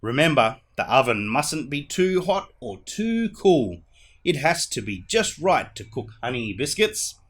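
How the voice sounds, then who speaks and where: A man's voice: mid-range voice, big pitch swings, unhurried, fairly bright tone. A man voicing a patient, instructive grandmother in a storybook, explaining things with emphasis. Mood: encouraging.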